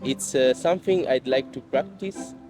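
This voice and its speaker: A man with an Italian accent, reading a sentence aloud in a relaxed way. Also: medium pitch, moderate pace, over music. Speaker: passer-by.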